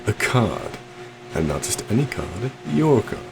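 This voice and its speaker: A suave, possessive stage magician acted by a man in an ASMR roleplay, speaking very low with lively, swooping intonation. He is courtly and theatrical, sweetly menacing as he coaxes, over a clear steady background hum. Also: a little slow. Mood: playful showmanship. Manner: theatrical, courtly, soft coaxing with terms of endearment.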